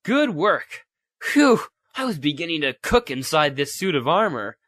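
A plucky young reporter, played by a man, speaks earnestly in a high, bright and lively voice. It is radio adventure acting with faint noise behind. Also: a little slow. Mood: relieved.

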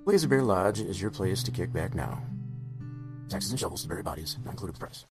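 Man playing a low, animated ad pitchman. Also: fast. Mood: mock-salesy. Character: folksy, shady male pitchman in spoof lodge advert. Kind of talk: parody radio advert. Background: plucked guitar music.

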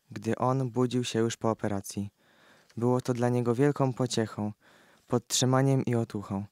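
A man narrates a historical audio play in a medium-pitched voice. The recording is dry and close, with very little room sound. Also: a little mellow, clear, resonant tone, dry recording.

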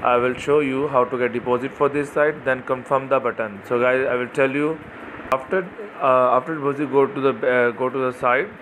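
A man narrating a screen-recorded tutorial, talking haltingly and repeating "you can see here". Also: medium pitch, steady tone, fairly bright tone, a little hiss or hum, low-quality recording. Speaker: crypto site walkthrough narrator.

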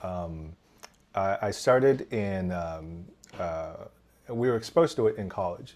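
Low-voiced man from Taiwan, animated storyteller. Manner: animated, gestures while talking, frequent restarts. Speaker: podcast guest, engineer. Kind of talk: casual interview storytelling. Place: home room indoors. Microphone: headset on video call.

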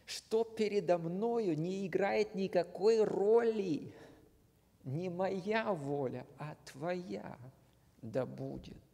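A man preaching a sermon, slow and earnest, often dropping to a hushed near-whisper at the ends of phrases. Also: sits high, vocal fry. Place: church hall. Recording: long hall reverb.

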